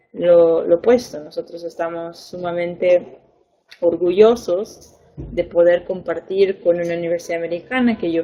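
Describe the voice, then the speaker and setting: A young woman education advisor, a native Spanish speaker, giving a webinar lesson in a clear, patient way, stressing points by repeating words. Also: on the low side, warm tone, poor audio. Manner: clear, patient explaining with fillers and repeated emphasis.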